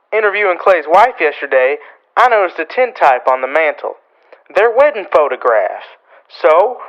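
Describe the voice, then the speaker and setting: A man's voice: sits high, a bit bright, lo-fi sound. A man playing a gleeful, opportunistic small-town newspaper printer in a Western radio drama, with a folksy, boastful American manner of talking.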